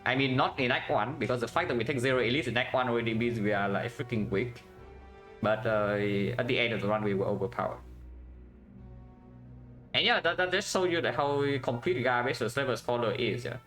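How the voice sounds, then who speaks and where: Vietnamese-accented male streamer, mid pitch, casual running commentary, faint music bed. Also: brisk, fairly bright tone. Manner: thinks aloud, tag questions "yeah?", chats with viewers. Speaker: game streamer.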